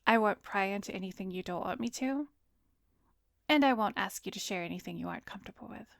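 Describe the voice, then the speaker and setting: Woman acting calm lady therapist, asmr roleplay, gentle n professional, slips into whispering, close dry mic. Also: medium pitch, dry recording. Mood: calm, reassuring. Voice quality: soft, often slipping into breathy whisper. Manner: measured, gentle, professional, probing. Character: composed, soothing female therapist, secretly an arrogant vampire. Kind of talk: ASMR roleplay, addressed to the listener. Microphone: close studio microphone, dry.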